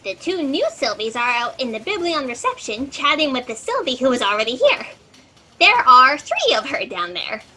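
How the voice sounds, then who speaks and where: A woman's voice: high voice, very animated, normal speed, sharp tone, slight background noise, heavily acted, rough recording. A woman playing a scatterbrained, eager fact-checker in a comedic sci-fi audio drama, chirpy and rambling as she goes. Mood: apologetic, chipper. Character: scatterbrained, eager, chatty female fact-checker in a cosmic office.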